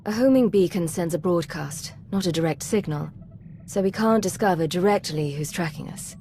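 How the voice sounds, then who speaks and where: An actress as a composed female agent commanding a starship crew in a sci-fi video game. Her voice sits fairly low, measured and terse, with some background ambience. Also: dark tone, a little hiss or hum, natural voice. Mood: cool, businesslike. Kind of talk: video game cutscene acting.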